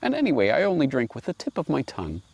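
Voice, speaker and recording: A man's voice: medium pitch, wildly swooping pitch, fast talker, creaky, a little mellow, husky, no room sound, voice pushed up, full character voice. A man voicing a polite, innocent young lamb, meekly reasoning, in a storybook fable.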